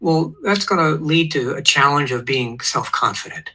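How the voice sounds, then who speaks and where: A man in his sixties, a therapist, calmly explaining psychological ideas as a guest on an online show. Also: on the high side, normal speed.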